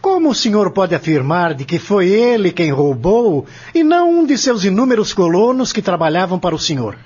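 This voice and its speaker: A man plays a stern Brazilian courtroom examiner in a radio play. His voice is high for a man and swings widely, with a raspy edge. He questions formally and insistently on a slightly muffled recording. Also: very animated, normal speed, darkish tone, full character voice. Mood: accusing. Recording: dull, lo-fi audio.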